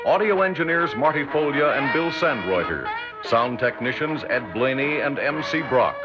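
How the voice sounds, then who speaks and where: Male radio announcer, mid-range voice with animated swing, formal vintage credit read over loud orchestral music. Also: mid-range voice, normal speed. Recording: muffled old radio broadcast, loud music.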